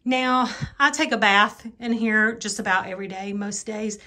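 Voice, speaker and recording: A woman's voice: medium pitch, steady tone, moderate pace, pushed and bright. A woman talking casually in a home vlog, rambling and correcting herself in a conversational way as she goes. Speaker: home and cleaning vlogger. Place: rooms inside a house, bedroom and bathroom.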